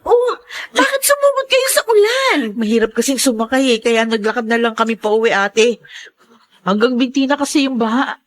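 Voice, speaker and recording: An actress as a worried Filipina daughter in a radio drama. Her voice is high, and she sounds practical and anxious as she looks after her family. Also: animated, moderate pace, lightly acted.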